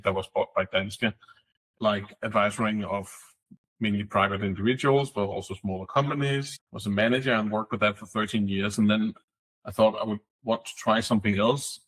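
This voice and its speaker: Guest, middle-aged man, lowish voice, thoughtful and halting. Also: a bit bright, breathy edge, rough recording. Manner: thoughtful, searching for words. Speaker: guest, fintech executive. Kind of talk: podcast interview.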